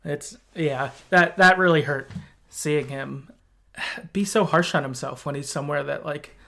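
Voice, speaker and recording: Man, slightly high voice, casual podcast talk, conversational, hedging, story recap. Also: measured pace, vocal fry, pushed and bright. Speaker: podcast host.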